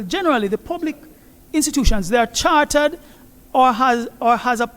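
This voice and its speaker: A man answering a question at an academic conference in a measured, formal way. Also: very high-pitched. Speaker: conference presenter. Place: large conference hall. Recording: long hall reverb.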